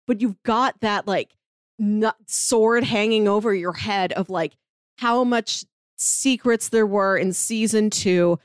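A woman co-hosting a podcast in casual chat, telling stories animatedly, acting out quotes and slipping in quick asides. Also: mid-range voice, clean tone, no room sound, studio quality. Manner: animated storyteller, acts out quotes, quick asides, laughs often. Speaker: podcast co-host.

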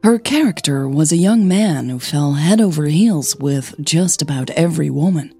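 A woman podcast host reading scripted fiction as narrator, in a measured storytelling manner. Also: low-pitched, animated, dark tone, husky, soft background music.

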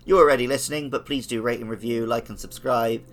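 A man's voice: mid-range voice, steady tone, fast. A man co-hosting a gaming podcast, chatting casually about the game and the show. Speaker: podcast co-host.

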